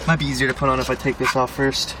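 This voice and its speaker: A man's voice: mid-range voice, level tone, quick pace. A man chatting casually and easygoingly, with some self-deprecation, while doing hands-on installation work in or beside a car. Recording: loud vehicle and outdoor background noise.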